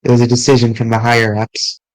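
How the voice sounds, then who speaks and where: A man streaming, reading visual novel dialogue aloud in an earnest, halting way with small restarts. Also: medium pitch, monotone, dry recording, poor audio.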